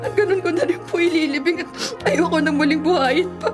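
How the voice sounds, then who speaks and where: Very high-voiced heartbroken young bride. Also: female voice, a little fast. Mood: bitter grief. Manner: plaintive, sorrowful. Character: heartbroken, tearful young jilted bride, devout daughter. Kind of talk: radio drama acting. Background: music.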